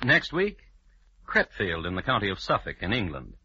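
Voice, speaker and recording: A man playing a dry, formal crime historian, narrating in deadpan 1950s radio style. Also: fairly low pitch, normal speed, breathy edge, heavily acted. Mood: formal, ominous. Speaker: narrator and host. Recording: muffled vintage radio broadcast recording.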